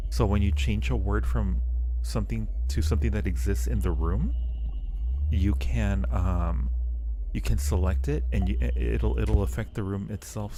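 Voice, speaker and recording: Low, mellow man streaming a game. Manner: musing aloud, repeats phrases to himself. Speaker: live streamer. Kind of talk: live game commentary mixed with reading game text aloud. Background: background music.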